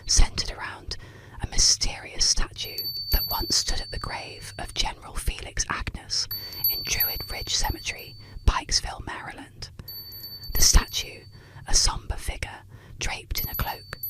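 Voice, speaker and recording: A woman narrating eerie legends as scripted ASMR in a hushed, deliberate, close-miked voice with gentle pauses. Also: rough recording. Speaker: ASMR host and storyteller. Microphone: close-up microphone.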